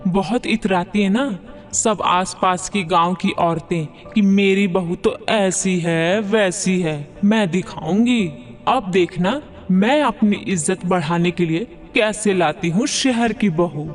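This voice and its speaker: Man voicing bossy high-pitched village mother-in-law. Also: slow pace, soft background music. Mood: boastful, eager. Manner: boastful, scolding, rustic village talk. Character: proud, bossy middle-aged village mother-in-law woman. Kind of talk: Hindi cartoon moral story dialogue.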